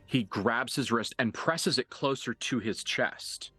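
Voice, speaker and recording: A man's voice: mid-range voice, slow pace, sharp tone, slightly rough, no echo, soft background music. A man acting as game master, improvising narration and table talk in a live roleplaying game.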